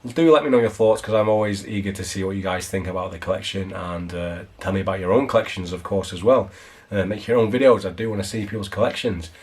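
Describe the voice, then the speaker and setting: A man with a hoarse, fading voice, chatting loosely through a show-and-tell of his collection as a vlogger. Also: deep voice, fast. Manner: rambling, loose and chatty, with frequent restarts.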